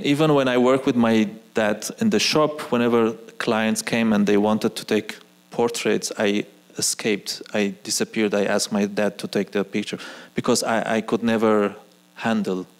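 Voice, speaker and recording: A man in his forties, a native Arabic speaker, speaking on an auditorium stage as a guest artist, pausing and restarting often. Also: fairly low pitch. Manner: hesitant, frequent restarts. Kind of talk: onstage conversation. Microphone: handheld mic.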